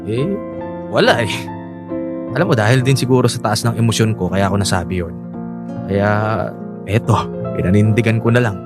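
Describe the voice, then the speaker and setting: A man's voice: fairly low pitch, a little fast, pauses often, natural voice. A man playing a remorseful, defensive unfaithful husband and young father in a Filipino radio drama, speaking with a Filipino accent. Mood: uneasy, resigned. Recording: music bed behind the voice.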